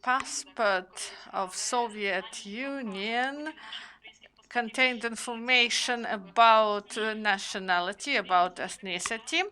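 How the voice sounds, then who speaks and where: A woman in her thirties whose first language is Romanian speaks at a press conference. Her delivery is slow and halting, full of pauses and fillers, and her voice is bright and forward with a slightly higher pitch. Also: steady tone, rough recording. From Ukraine. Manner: halting, many hesitations. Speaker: panel speaker.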